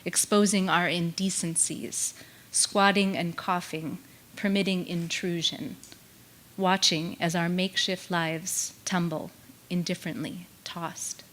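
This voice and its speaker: A woman in her thirties gives a solemn, deliberate poetry reading on stage into a stand microphone. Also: lowish voice, fairly even intonation, a bit bright, slight background noise, rough recording. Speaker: literary event reader. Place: on stage in a theater.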